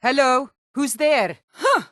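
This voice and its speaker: A stern Nord townswoman from a fantasy video game, with a fairly high voice and a dry, close sound. She is blunt and challenging, quick to suspicion and temper, and stresses her words firmly. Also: big pitch swings, a bit bright, no echo, full character voice. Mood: suspicious. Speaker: game character voice.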